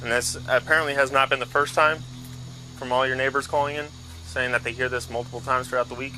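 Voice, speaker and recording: A man's voice: mid-range voice, level tone, fast, bright, forceful tone, soft background music, low-quality recording. An American man, a police officer, bluntly questioning someone on a call, recorded on a body camera. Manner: blunt, confrontational.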